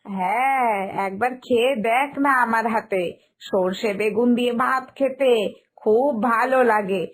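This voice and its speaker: A woman's voice: fairly high pitch, unhurried, a little hiss or hum, lightly acted. A woman voicing a lazy, slovenly aunt in a cartoon family story, breezy and chatty, scoffing at fuss. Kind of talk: animated Bengali family story dialogue.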